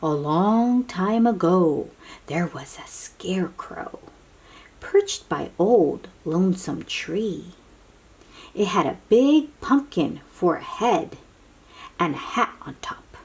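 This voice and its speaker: A woman's voice: fairly low pitch, breathy edge. A woman reading a picture book aloud to young children, dramatically, with asides and questions to the listeners. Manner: expressive, drawn-out, dramatic delivery with hushed moments.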